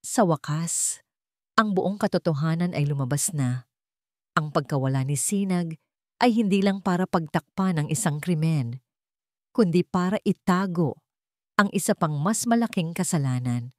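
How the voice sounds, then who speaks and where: A woman's voice: fairly low pitch, expressive, moderate pace, pauses often, a little mellow, no room sound, clean studio sound. A woman reading a suspenseful story as a scripted, dramatic narration.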